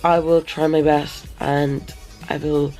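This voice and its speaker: Hoarse young woman vlogging outside, slow rambly chat, music going under it. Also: sits low, little pitch movement, warm tone. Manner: chatty, rambling with many fillers. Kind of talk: casual vlog chat. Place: outdoors on a sunny day. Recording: background music playing under voice.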